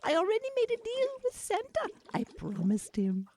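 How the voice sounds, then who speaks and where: A woman playing a courteous, principled witch in a radio play, speaking in a deliberate, theatrical way. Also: very high voice, wildly swooping pitch, darkish tone, slight background noise, full character voice. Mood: firm, polite. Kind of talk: radio-play character acting.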